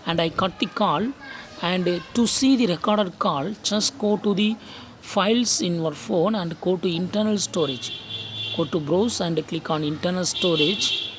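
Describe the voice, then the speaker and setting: Phone tutorial narrator, medium pitch, instructional and repetitive, recorded outdoors with noticeable background noise. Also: unhurried. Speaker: tech tutorial host.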